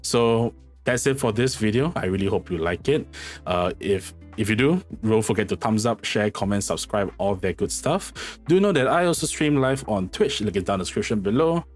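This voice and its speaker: A fairly low-pitched man with a slightly hoarse voice, talking informally through a game guide. He rambles and corrects himself often. Faint music plays behind him. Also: lively intonation, moderate pace. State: losing his voice, slightly hoarse. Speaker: gaming channel host. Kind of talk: casual game guide commentary.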